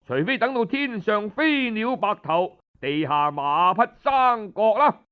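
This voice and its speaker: A man's voice: high voice, lively intonation, unhurried, clear, resonant tone, narrow, tinny audio. A man with a Cantonese accent voicing a haughty, unyielding king in a storytelling audiobook, declamatory and taunting.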